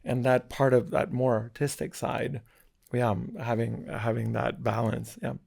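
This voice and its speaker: Gravelly middle-aged man from Spain. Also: medium pitch, level tone, a little mellow. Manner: thoughtful, halting, frequent restarts. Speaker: architecture professor, guest. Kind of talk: casual interview conversation.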